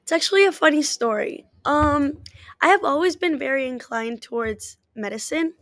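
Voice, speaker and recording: A woman's voice: high voice, animated, moderate pace, a bit bright, slight background noise. A woman whose first language is Spanish, an athletic trainer answering interview questions in an earnest, upbeat way.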